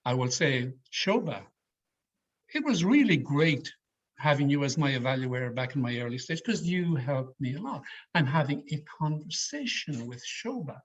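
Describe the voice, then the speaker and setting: A man leading an online public speaking workshop in a deliberate, emphatic, varied delivery, as a trainer addressing his learners. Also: on the high side, sing-song. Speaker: speech trainer giving an educational session. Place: online video call. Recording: slightly muffled, lo-fi video call audio.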